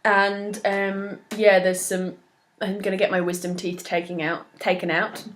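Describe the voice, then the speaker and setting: A woman's voice: medium pitch, level tone, a little slow. Chatty young woman, slightly stuffed up. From moved to Australia from elsewhere. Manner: chatty, warm-hearted, many ums and soft laughs. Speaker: knitting podcast host. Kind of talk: casual solo chat to camera.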